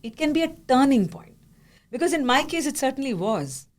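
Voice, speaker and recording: A woman hosting a podcast, telling a story with animated energy and frequent laughter. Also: high-pitched, brisk. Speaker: podcast host. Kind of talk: podcast conversation.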